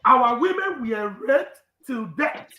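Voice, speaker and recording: A man's voice: very high voice, expressive, slow, sharp tone, echoey room, slight background noise. A man with a Nigerian accent, a native Urhobo speaker, giving an impassioned live speech that repeats phrases for emphasis. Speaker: activist speaker.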